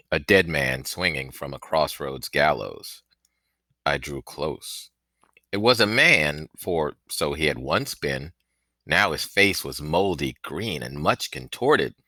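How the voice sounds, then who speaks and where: A man reading a storybook aloud as an audiobook narrator, with theatrical, expressive delivery. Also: low-pitched, dry recording. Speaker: audiobook reader.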